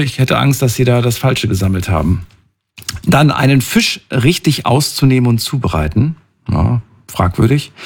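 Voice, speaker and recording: A man's voice: mid-range voice, vocal fry, slightly rough. A man hosting a radio call-in show, chatting casually to listeners.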